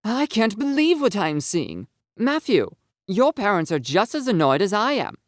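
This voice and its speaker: A narrator voicing a stern, impatient, angry mother who scolds teenagers in a brisk, commanding way for an audio story. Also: medium pitch, sing-song, no room sound, studio quality, voice pushed up, close to an everyday voice. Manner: brisk, commanding, sharp questioning.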